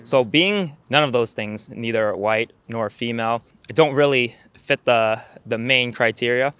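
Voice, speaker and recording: A man's voice: mid-range voice, measured pace, a bit bright, clean tone. A young man from Boston, an English teacher chatting casually in a street interview outdoors. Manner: casual, uses lots of "like". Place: outdoors in a park. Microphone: handheld mic held by interviewer.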